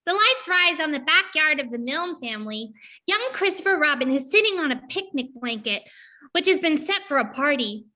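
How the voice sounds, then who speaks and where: A woman's voice: high voice, pushed and bright. A woman narrating a play script, reading out the cast and stage directions.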